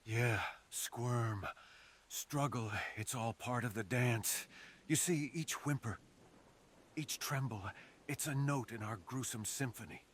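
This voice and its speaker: A man's voice: medium pitch, takes it slowly, a little hiss or hum, close to an everyday voice. A man playing a fox assassin in an ASMR roleplay, speaking theatrically and intimately to the listener, savoring his phrases. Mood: taunting, predatory. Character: menacing, sadistic male fox assassin with a remorseful streak. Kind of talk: ASMR roleplay, close dramatic monologue to the listener.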